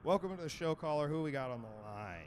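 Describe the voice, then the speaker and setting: A man's voice: a little high, animated, quick pace, slight background noise. A man hosting a casual chat show, teasing and swearing freely. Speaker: podcast host.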